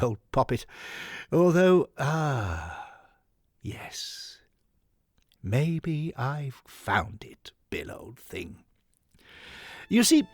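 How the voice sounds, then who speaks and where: An effusive, elderly Victorian gentleman in an audio story. His pitch swoops up and down as he dithers fussily and sentimentally, full of old-chum endearments. Music plays behind him. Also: breathy edge, no echo, studio quality, full character voice. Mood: searching. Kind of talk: dramatic audiobook monologue.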